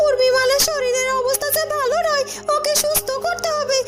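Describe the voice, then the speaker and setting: A performer voicing a frantic, sharp-tongued young maidservant in a cartoon, exclaiming, scolding and pleading. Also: very high voice, steady tone, fairly quick, over music. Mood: panicked, pleading. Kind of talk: cartoon dialogue.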